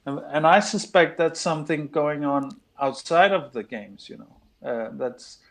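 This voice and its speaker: Gravelly man musing hesitantly on a call. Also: a little high, expressive, unhurried, fairly bright tone. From Denmark. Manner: hesitant, lots of fillers and restarts. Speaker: fan pundit. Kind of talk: casual chat on a video call.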